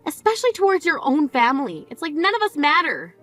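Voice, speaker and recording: A woman's voice: high-pitched, big pitch swings, quick pace, pushed and bright, soft background music, exaggerated voice. A woman playing Rita, a scolding grieving sister. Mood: incredulous. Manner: scolding, incredulous, cutting. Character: grieving older sister, exasperated, blunt woman. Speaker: sister at a funeral. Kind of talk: scripted dramatic dialogue.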